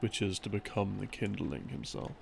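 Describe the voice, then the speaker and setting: A man telling a rambling story as a sleep aid, in a halting, musing way with pauses, in the role of a boyfriend explaining things. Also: lowish voice, expressive. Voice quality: creaky, gravelly. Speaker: audio roleplay creator playing a boyfriend. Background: background music.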